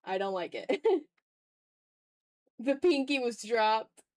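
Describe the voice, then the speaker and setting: A woman with a fairly high voice, recorded close and dry, hosts a casual drawing stream. She thinks out loud and banters with her viewers, playful and teasing, with a streak of mock exasperation. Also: big pitch swings, slow, dry recording. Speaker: art streamer. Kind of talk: casual livestream chat while drawing.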